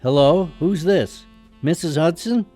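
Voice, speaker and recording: Mellow timbre, slightly high pitch, man acting feisty lawyer son, radio drama, wry, stubborn, argumentative. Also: a little slow, faint music behind. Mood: concerned. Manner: argumentative, sardonic.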